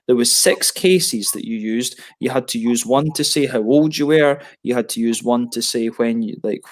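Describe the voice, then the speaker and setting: Man, 30s, casual rambling hangout talk over a headset, mid-pitched and relaxed, frequent self-corrections. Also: moderate pace. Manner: rambling, many restarts and fillers. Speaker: beer reviewer on a video hangout. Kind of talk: casual chat.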